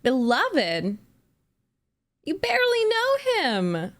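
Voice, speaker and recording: A woman's voice: high voice, huge pitch swings, drawn-out words, a little hiss or hum. A young woman hosting a reaction video, chatting casually, giggling and musing aloud.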